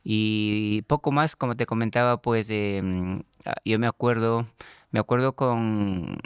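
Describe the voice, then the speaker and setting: A man's voice: on the low side, slow pace, clean tone, dry recording, clean studio sound. A middle-aged man from Ecuador with an Ecuadorian accent, speaking as a radio guest in a casual interview, hesitant and full of fillers and restarts. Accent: Ecuadorian Spanish.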